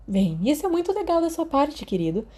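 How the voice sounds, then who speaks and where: A Brazilian woman voicing a caring, gently scolding school nurse in a storybook reading. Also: high voice, sing-song, a little fast, voice pushed up. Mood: softened.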